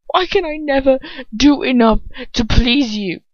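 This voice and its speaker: A woman's voice: sits high, a little slow, dry recording, lo-fi sound, pitched above the performer's own voice. A woman reading an audiobook as an anguished, bitter young wizard son pleading with his father in an emotional, wavering voice. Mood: anguished, wounded.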